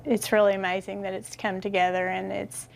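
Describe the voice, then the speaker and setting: A woman gives eager, earnest interview answers about her family. Her pitch sits in the middle range. She sounds sincere throughout. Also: flat delivery, fairly quick, creaky, poor audio. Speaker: daughter of store owner, interviewee.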